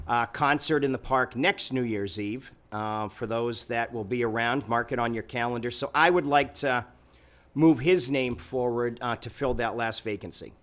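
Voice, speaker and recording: Man, medium-pitched voice, council meeting chair, informal updates, halting conversational delivery. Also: a bit bright.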